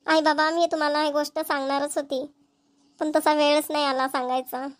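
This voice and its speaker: A woman's voice: high-pitched, level tone, normal speed, lightly acted. A woman voicing an earnest, stubborn young village woman in a Marathi cartoon, with a rural Maharashtrian accent. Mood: earnest pleading. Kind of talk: amateur cartoon comedy-drama dubbing.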